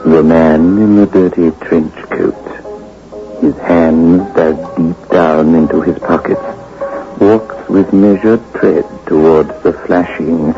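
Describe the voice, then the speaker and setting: A slow, gravelly, sinister horror host. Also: male voice, sits low. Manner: slow, menacing, gloating. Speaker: host and narrator. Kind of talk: 1950s radio drama narration. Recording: dull old radio recording.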